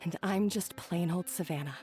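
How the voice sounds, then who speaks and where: An actress playing a proud but remorseful, self-doubting female head chef in a video game, speaking in an earnest, halting, dramatic way. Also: lowish voice, dark tone, soft background music, close to an everyday voice. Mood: dejected.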